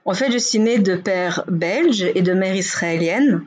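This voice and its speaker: Woman, 40s, from Belgium, interview guest; husky, frank, chatty, filler-heavy. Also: mid-range voice, unhurried. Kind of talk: casual interview talk.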